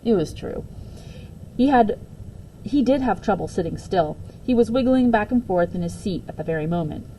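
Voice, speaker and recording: A woman reading a children's book aloud as a teacher would, in an expressive storytelling style. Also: mid-range voice, normal speed, a little hiss or hum, low-quality recording.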